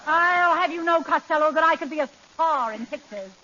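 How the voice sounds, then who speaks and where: A vain, haughty wife speaks in a high, swooping voice in an old radio comedy. She is grand, gushing and scornful, over a very noisy recording. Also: animated, fairly quick, highs cut off. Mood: indignant. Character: haughty, indignant, vain middle-class wife. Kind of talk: 1940s radio comedy sketch acting.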